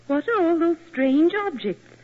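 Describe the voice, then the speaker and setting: A woman's voice: sits high, lively intonation, moderate pace. An actress playing a timid, fretful English woman companion in a 1940s radio drama, tinny old recording. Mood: nervous. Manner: fretful, easily alarmed.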